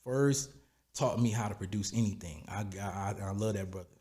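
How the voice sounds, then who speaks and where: A raspy-voiced man, a music producer and singer, telling stories in a relaxed, rambling way in a podcast interview. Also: lowish voice, normal speed. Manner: relaxed, rambling, repeats "you know what I'm saying". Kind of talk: casual interview storytelling.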